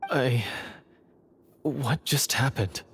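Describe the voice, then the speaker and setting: An actor voicing a troubled, regretful man from a monster-hunter clan in a video game, with halting, dramatic delivery. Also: medium pitch, a bit bright, slight background noise. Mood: dazed, confused. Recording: music behind the dialogue.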